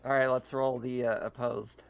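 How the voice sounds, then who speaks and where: A man's voice: medium pitch, little pitch movement, moderate pace, vocal fry. Man game master, slightly dull recording. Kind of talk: casual tabletop roleplay narration and rules talk.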